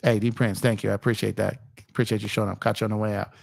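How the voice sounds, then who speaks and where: Hoarse, gravelly man streaming on basketball. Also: fairly low pitch, flat delivery, rapid-fire, no room sound, studio quality. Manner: chatty, rambling with restarts, speaks to chat viewers. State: slightly hoarse, losing his voice. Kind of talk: casual livestream talk.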